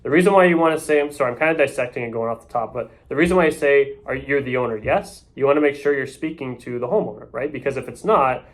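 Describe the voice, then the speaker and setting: Fast-talking male real estate coach. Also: medium pitch. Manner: loose, off-the-cuff, often ends points with "right?". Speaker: real estate agent teaching door knocking. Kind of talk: casual sales coaching talk.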